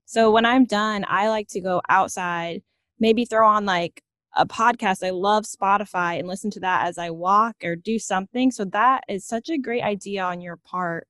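A woman's voice: mid-range voice, normal speed, fairly bright tone, no echo, studio quality. A woman hosting a casual interview in a chatty, agreeable way. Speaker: interviewer, host.

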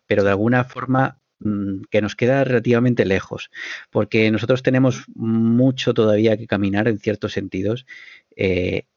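Brief: male podcast guest from Spain, low gravelly voice, measured and hesitant, muffled lo-fi sound. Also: darkish tone, no echo. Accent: Spanish from Spain. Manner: measured, thoughtful, many hesitations. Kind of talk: casual podcast conversation. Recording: dull, muffled dry audio.

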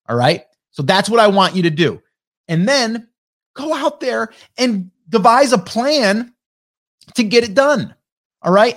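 Upbeat man in his forties coaching. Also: high voice. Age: 40s. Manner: casual, keeps checking in with "okay?" and "right?". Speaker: marketing coach hosting a coffee talk. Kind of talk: motivational talk to an audience.

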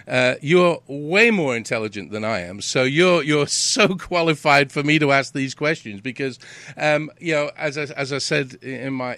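A man's voice: mid-range voice, fairly bright tone, no echo. A British man hosting a casual interview, rambling, repeating words and restarting sentences. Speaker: host.

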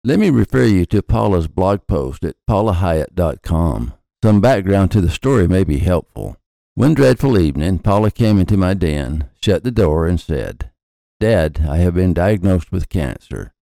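A man's voice: sits low, sing-song, moderate pace, gravelly, dark tone, no echo. An older man reading a scripted personal introduction as a podcast host.

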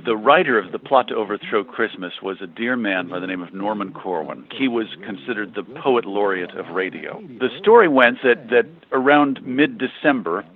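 A man's voice: lowish voice, animated, normal speed, hardly any pauses. A man, a radio host, giving conversational commentary as a guest. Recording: thin, phone-like line.

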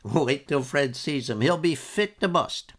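A man's voice: on the high side, animated, measured pace, fairly bright tone, pitched above the performer's own voice. A man voicing a wiry, stubborn old fisherman in his nineties with a sly, grumbling manner. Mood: boastful. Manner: sly, cantankerous, dialect speech.

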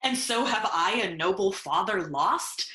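A woman's voice: mid-range voice, lively intonation, a little slow, pushed and bright, echoey room. A woman playing a grieving, vengeful young nobleman in a Shakespearean audio play, with intense declamatory delivery. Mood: bitter grief.

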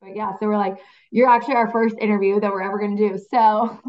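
A woman's voice: mid-range voice, flat delivery, fast talker, highs cut off. A woman from New Orleans hosting a podcast, asking eager, friendly questions in casual chat. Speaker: podcast host.

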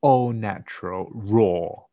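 A man's voice: on the low side, slow, creaky, no echo, muffled recording. A man from Australia whose first language is Mandarin, co-hosting a casual podcast in a playful, camp, mock-dramatic way with frequent laughter. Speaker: podcast co-host.

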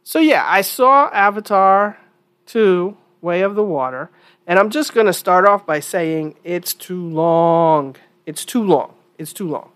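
A woman's voice: on the low side, expressive, measured pace, a bit bright. A woman hosting her own opinion podcast in a casual, chatty monologue, sounding stuffy from a cold and repeating points for emphasis. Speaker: podcast host.

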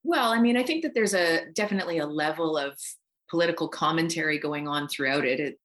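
Middle-aged woman, lowish voice, chatting informally. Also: animated, fast talker, fairly bright tone. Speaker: voice actor, interview guest. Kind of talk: casual remote interview. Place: home recording space, remote.

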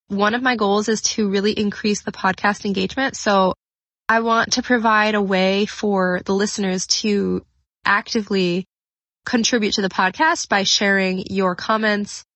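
A woman's voice: mid-range voice, fairly bright tone, no echo. Congested woman podcast host, dry sound. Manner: earnest, upbeat, rambling with fillers. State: congested from a cold. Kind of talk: solo podcast talk.

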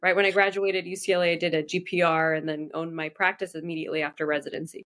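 Low-voiced woman dentist, quick restarts. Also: flat delivery, fairly bright tone. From Iraq. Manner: fast, restarting, self-correcting speech. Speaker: dentist guest. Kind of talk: casual interview answers.

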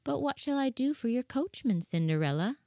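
A man voicing a kindly fairy godmother in a storybook reading, speaking gently and reassuringly. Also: extremely high pitch, sing-song, a little fast, creaky, no room sound, clean studio sound, pitched above the performer's own voice, exaggerated voice.

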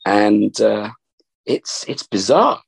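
A middle-aged man hosting a podcast with a gravelly voice, talking in a thoughtful, rambling way from an upstairs room at home. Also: lowish voice, expressive, slow, rough recording. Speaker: podcast host. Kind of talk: casual reflective chat.

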